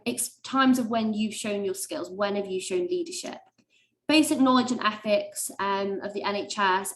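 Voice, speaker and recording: A young woman, a medical student, giving chatty webinar advice with lots of ums and likes and a high-pitched voice. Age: young adult. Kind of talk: webinar talk.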